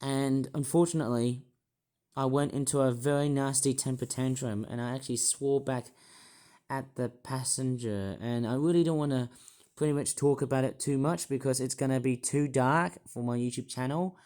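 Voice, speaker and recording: Hoarse man rambling on. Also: mid-range voice, fairly even intonation, moderate pace, a little mellow. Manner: rambling, repetitive, hesitant, with many restarts. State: hoarse, croaky voice. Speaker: YouTuber. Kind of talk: rambling personal vlog monologue.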